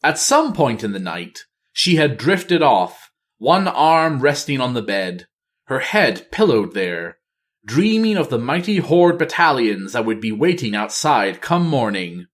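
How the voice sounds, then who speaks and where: Slow, dramatic male fantasy storyteller. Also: medium pitch, sing-song. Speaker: storyteller reading a novel aloud. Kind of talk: dramatic audiobook-style fantasy narration.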